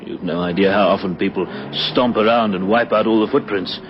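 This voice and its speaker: An experienced police sergeant played by a male actor in a vintage radio drama. He is measured, wary and authoritative. The recording is dull, with faint noise behind him. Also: lowish voice, lightly acted. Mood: brisk, cautious. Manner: measured, matter-of-fact, authoritative.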